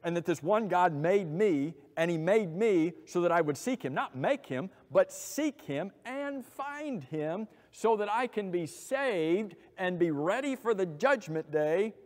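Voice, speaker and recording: Animated middle-aged preacher in a church hall. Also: male voice, high-pitched, unhurried, hardly any pauses. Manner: animated, gesturing, emphatic rhetorical questions. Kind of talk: expository sermon. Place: church auditorium with pulpit. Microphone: lapel mic. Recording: long hall reverb.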